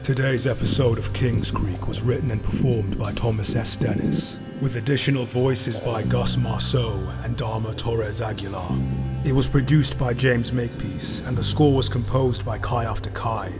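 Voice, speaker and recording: A man's voice: medium pitch, steady tone, normal speed. A man reading scripted end credits as a podcast announcer. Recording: loud music under the voice. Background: prominent musical score.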